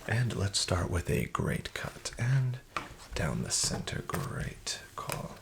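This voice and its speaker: A man in a soft-spoken ASMR roleplay as a casino dealer, half-whispering smooth, flattering patter. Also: sits low, takes it slowly.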